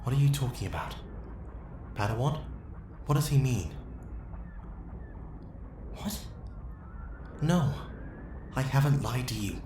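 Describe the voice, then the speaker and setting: A man's voice: mid-range voice, animated, takes it slowly, long pauses, warm tone, pitched above the performer's own voice. A man playing an earnest, righteous Jedi master in an ASMR roleplay, pleading with urgency. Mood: alarmed pleading. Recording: echoey with faint background noise.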